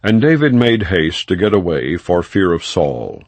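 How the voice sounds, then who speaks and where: A man reading scripture as an audiobook narrator, in a solemn, measured and formal delivery without dramatization. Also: deep voice, very animated, no room sound. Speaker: audiobook Bible reader.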